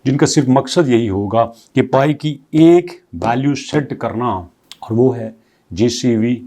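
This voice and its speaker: A man's voice: mid-range voice, a little slow, dark tone. A man hosting a crypto news channel, explaining updates conversationally and firing off strings of rhetorical questions. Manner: reads English passages aloud, then explains them conversationally. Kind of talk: explanatory commentary.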